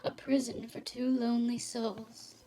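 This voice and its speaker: A woman acting a sultry femme fatale in a noir audio drama, with a high-pitched voice. She is world-weary and sharp-tongued, teasing with theatrical flair. A crowd can clearly be heard behind her. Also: level tone, measured pace, natural voice, low-quality recording. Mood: bitter, lyrical.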